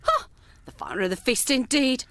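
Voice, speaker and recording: An actress playing a sharp-tongued, loving Victorian working-class wife in a radio play, emphatic in manner. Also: female voice, high-pitched, huge pitch swings, moderate pace, dry recording, exaggerated voice. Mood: indignant, grudging. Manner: emphatic, scolding. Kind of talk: radio drama acting.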